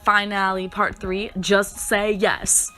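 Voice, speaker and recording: Bubbly woman gamer with a hoarse voice. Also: mid-range voice, takes it slowly, faint music behind. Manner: bubbly, playful and excitable. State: hoarse from a sore throat. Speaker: gaming YouTuber. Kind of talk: reading a story game aloud with commentary.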